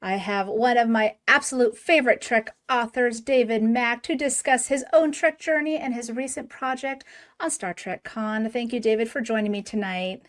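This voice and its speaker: A woman's voice: on the high side, normal speed. A woman hosting a podcast interview over a video call, enthusiastic and giggly, with lots of fillers. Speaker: podcast host. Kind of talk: casual interview.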